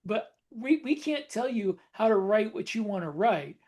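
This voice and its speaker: Middle-aged man co-host, relaxed and rambling, casual chat. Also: sits high, moderate pace. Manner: relaxed, rambling, self-correcting. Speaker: podcast co-host, author.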